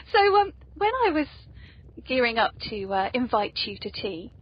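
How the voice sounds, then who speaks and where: Dull lo-fi recording, clear higher-voiced woman. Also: big pitch swings, slow. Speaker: podcast host and author. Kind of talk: casual playful interview chat. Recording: dull lo-fi podcast audio.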